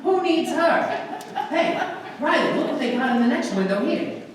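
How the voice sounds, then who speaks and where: A man's voice: very high voice, lively intonation, moderate pace. A man voicing a henpecked working-class buddy who gripes and wisecracks, acted as in a 1940s radio sitcom. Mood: wisecracking. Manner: wisecracking, complaining. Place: live stage show in a hall. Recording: echoey hall, distant mic, faint noise.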